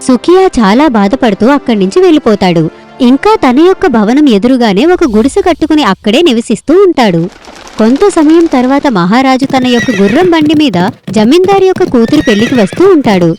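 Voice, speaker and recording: A woman narrates a story from a script in a high, very animated voice. Her tone is mellow and rounded, and light music plays behind her. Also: a little fast.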